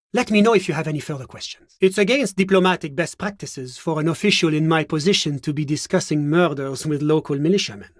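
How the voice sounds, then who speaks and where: A fastidious male diplomat from a video game, with a somewhat high voice. He speaks formally and politely, choosing his words with genteel, evasive care. The sound is dry and close. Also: no echo. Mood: polite, genial, then officious, formal. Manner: genteel, measured, formal, officious. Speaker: government official character in a video game. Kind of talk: video game character voice acting.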